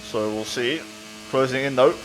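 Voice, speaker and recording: A man's voice: mid-range voice, moderate pace, a little hiss or hum, low-quality recording. A man giving casual, upbeat gameplay commentary as a video creator.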